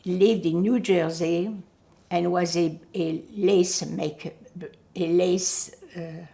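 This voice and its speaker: An older woman whose first language is Yiddish, recalling her family in an oral history interview, pausing often to search for words. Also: on the low side, fairly even intonation, vocal fry, mellow. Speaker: Holocaust survivor giving testimony.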